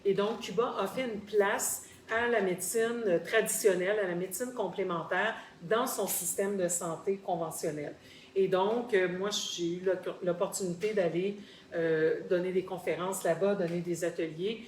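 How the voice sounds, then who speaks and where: A woman's voice: fairly low pitch, steady tone, normal speed, a little mellow. A woman with a Québécois French accent tells of her experiences conversationally, articulate and explanatory, repeating words for emphasis. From Quebec, Canada. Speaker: guest sharing personal experience. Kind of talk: conversational storytelling in a group discussion. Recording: roomy echo, faint background noise.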